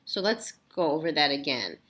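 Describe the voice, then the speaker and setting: Woman teacher with very animated intonation. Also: deep voice, moderate pace. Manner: explanatory, checking in with questions. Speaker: elementary school teacher. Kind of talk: casual read-aloud lesson for children.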